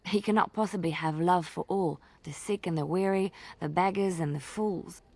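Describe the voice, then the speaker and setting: Gentle devout minstrel sister. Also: female voice, fairly low pitch, normal speed, vocal fry. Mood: earnest, wistful. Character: devout, playful, secretive young female lay sister and former minstrel. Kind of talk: fantasy game voice acting, storytelling.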